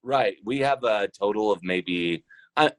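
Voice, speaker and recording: A man speaking casually as an interview guest, pausing often to think as he goes. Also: fairly low pitch, dry recording. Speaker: podcast guest, role-playing show founder and trucker.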